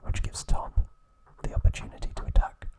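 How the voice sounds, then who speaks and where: A man whispering an ASMR recap of trivia, pausing now and then to correct himself. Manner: slow soft whisper, occasional self-corrections. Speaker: ASMR host.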